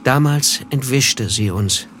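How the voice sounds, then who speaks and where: An actor playing a disillusioned, reflective narrator, telling a literary story in a measured way in a radio drama. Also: male voice, mid-range voice, noisy background, close to an everyday voice. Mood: wistful, reflective. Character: reflective male first-person narrator, disillusioned.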